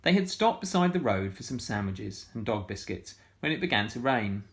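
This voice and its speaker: A man reading a picture book aloud to schoolchildren, animated and full of sound effects. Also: fairly low pitch, normal speed. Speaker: storytime reader for schoolchildren.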